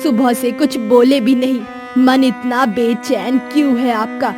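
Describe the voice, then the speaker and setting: A woman plays a caring, worried village wife in a cartoon moral tale. Her high voice moves slowly and with concern, over faint music. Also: steady tone, natural voice. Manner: gentle, concerned. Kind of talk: dramatised moral story for cartoon.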